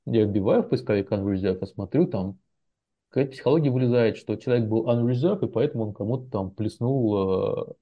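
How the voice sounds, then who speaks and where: A man with a lowish, gravelly voice chats easily as an interview guest. He rambles through anecdotes, pausing on fillers and restarting words. The sound is dull and lo-fi. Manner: relaxed storytelling with many fillers and restarts. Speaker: professional translator, guest. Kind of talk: casual interview chat.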